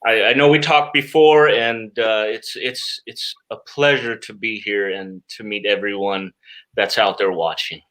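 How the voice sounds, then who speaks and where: A middle-aged man speaking conversationally as an interview guest, in a halting way with repeated words and frequent filler phrases. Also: on the low side, steady tone, fairly bright tone, poor audio. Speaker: interview guest, stage magician.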